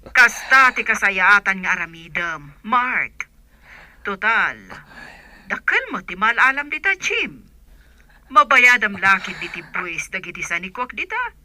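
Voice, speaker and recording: A practical godmother, gives emphatic advice in an Ilocano accent. Her voice is mid-pitched, extremely bright and forceful, with a dry sound, in radio drama style. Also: big pitch swings, a little slow, dry recording, exaggerated voice. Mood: exhorting. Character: older godmother, practical, encouraging, exhorting. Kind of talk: Filipino radio drama acting.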